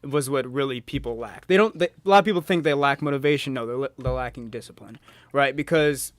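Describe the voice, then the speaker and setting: A man's voice: medium pitch, a little fast, dry recording. A man in his early twenties co-hosting a podcast, talking earnestly in casual chat, swearing and asking "right?" a lot. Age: 20s. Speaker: podcast co-host.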